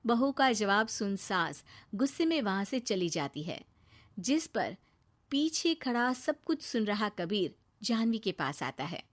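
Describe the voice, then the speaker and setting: A woman's voice: medium pitch, very animated, measured pace. A woman reading scripted narration for a moral story as its narrator.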